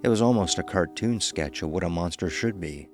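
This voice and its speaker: A man's voice: deep voice, a little fast. A man narrating a scripted horror story. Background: faint music.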